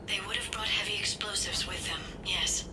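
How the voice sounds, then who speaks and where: A woman's voice: medium pitch, normal speed, distant mic, crowd noise, lightly acted. A woman playing a serious, analytical alien intelligence expert in a video game. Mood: grave, informative.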